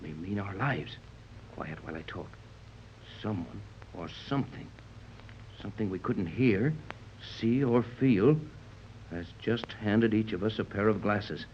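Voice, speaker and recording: An actor playing a grave, commanding American sea captain who gives firm, deliberate orders in a 1930s radio adventure serial. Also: male voice, lowish voice, natural voice. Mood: stern, urgent. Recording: muffled, lightly noisy 1930s radio recording.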